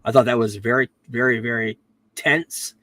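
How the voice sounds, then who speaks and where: A man recapping a TV series on a casual podcast, his voice worn from a cold he is getting over. He talks in a rambling way, filling gaps with ums and correcting himself as he goes. His pitch sits in an ordinary middle range. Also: fairly even intonation, normal speed, sharp tone, no echo. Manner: rambling, self-correcting, frequent "um"s. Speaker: podcast host reviewing a TV series.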